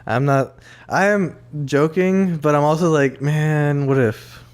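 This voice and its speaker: Smooth-voiced young man, loose chat. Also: on the high side, slow pace. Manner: loose and profane, with restarts and "like". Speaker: podcast co-host. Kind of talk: casual chat.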